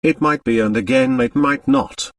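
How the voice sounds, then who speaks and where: A man's voice: mid-range voice, normal speed, no echo, clean studio sound, recorded from a distance, natural voice. Man putting on a hesitant English maid. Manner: hesitant, deferential. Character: cautious, unwilling English lady's maid, woman. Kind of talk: audiobook character dialogue.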